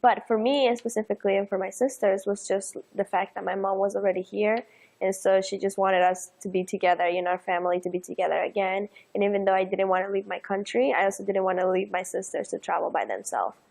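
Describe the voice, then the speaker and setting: A woman's voice: mid-range voice, quick pace, creaky, a little mellow. A woman in her twenties from El Salvador, speaking in an earnest, reflective way in a documentary interview. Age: 20s.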